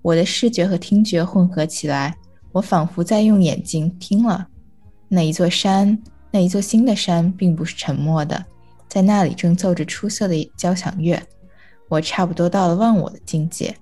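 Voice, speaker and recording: Low-voiced woman reading literary prose. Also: moderate pace, warm tone, soft background music. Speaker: reader of a short story.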